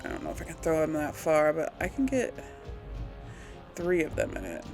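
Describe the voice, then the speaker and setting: A woman's voice: sits low, lively intonation, moderate pace, over loud music. A woman hosting a casual gaming let's play, thinking aloud in a chatty, folksy way with a slight throaty tickle from a recent cold. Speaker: gaming video host.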